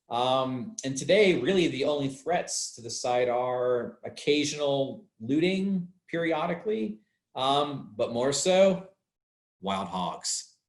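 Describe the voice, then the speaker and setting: Archaeologist guy explaining fieldwork casually, mid-pitched voice, kinda echoey room, repeats stuff for emphasis. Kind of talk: informal explanatory talk about fieldwork. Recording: roomy, echoey sound.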